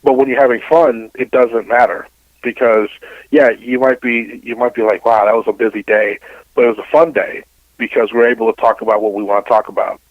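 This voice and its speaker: A middle-aged man gives relaxed interview answers over a dull, lo-fi connection with no room sound. His pitch is ordinary, and he builds points haltingly, repeating phrases as he goes. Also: no room sound. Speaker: sports radio host, interview guest. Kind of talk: casual interview answers, reflective opinion.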